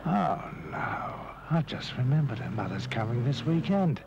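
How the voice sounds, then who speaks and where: An actor playing an absent-minded, exasperated husband muttering to himself in an old public information film. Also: male voice, mid-range voice, very animated, moderate pace, vocal fry, muffled recording. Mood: grumbling. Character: preoccupied grumbling husband, absent-minded driver. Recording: noisy old film soundtrack.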